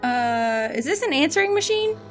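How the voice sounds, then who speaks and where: A woman playing a dry, no-nonsense agent in an audio drama, deadpan and businesslike. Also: high voice, moderate pace, music in the background. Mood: wry. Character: dry, no-nonsense woman agent who hunts contraband sellers.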